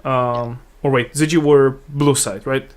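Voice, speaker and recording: A man's voice: medium pitch, steady tone, measured pace. A man giving casual analysis as an esports commentator, often stammering and repeating words as he reasons aloud. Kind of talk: casual live game commentary.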